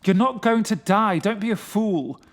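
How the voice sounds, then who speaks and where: A man's voice: sits high, moderate pace, voice pushed up. A man voicing an impatient, dismissive companion who brusquely reassures someone in a gothic horror story. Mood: urgent, exasperated.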